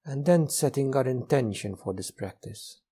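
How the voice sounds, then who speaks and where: A man leading a guided meditation as a teacher, speaking gently in short, unhurried phrases with long pauses. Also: mid-range voice, expressive, breathy edge.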